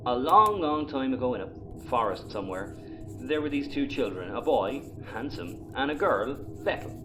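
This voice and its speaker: An actor playing a grumpy, sarcastic, exasperated spaceship captain in a sci-fi audio comedy. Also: male voice, medium pitch, big pitch swings, moderate pace, over music, lightly acted, low-quality recording. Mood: grudging storytelling. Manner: exasperated, sardonic.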